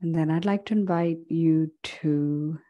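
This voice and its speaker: A woman yoga instructor leading a guided meditation in a calm, gentle, unhurried way with long pauses. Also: deep voice, dull audio.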